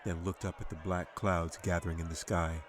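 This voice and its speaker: A man narrating a fantasy audiobook as its author, in a measured, dramatic storytelling manner. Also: sits low, flat delivery. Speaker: author reading own audiobook.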